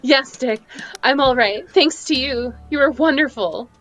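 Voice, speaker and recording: A woman's voice: high-pitched, measured pace, fairly bright tone, soft background music, pitched below the performer's own voice. A woman playing a plucky heroine sweetheart in melodramatic 1940s radio serial style. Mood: relieved, admiring. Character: plucky heroine sweetheart Miss Trueheart, indignant, frightened.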